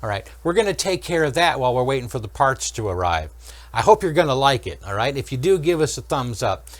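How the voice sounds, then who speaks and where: A man's voice: medium pitch, very animated, quick pace, no echo. A man hosting a repair channel, giving an informal technical explanation step by step and often checking in with the viewer. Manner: explains step by step, checking in with okay and all right. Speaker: host of an electronics repair channel.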